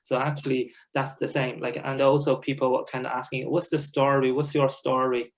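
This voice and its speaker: Gravelly, flat-voiced Chinese man. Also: mid-range voice, normal speed. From China. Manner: hesitant, filler-heavy, laughs often. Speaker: alumni guest speaker. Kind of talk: online panel conversation. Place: home, over a video call.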